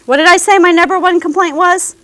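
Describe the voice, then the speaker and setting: A woman's voice: extremely high pitch, fairly even intonation, fairly quick, a bit bright, no room sound. A woman giving a career services presentation to a class of students, talking to them directly and often checking in with "okay?". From American South.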